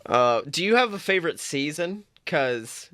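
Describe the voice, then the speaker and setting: A man with a bright, slightly high voice chats on his podcast, animated and quick to swear and laugh. The sound is dry and close, from a studio mic. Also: no room sound. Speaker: podcast co-host. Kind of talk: casual chat.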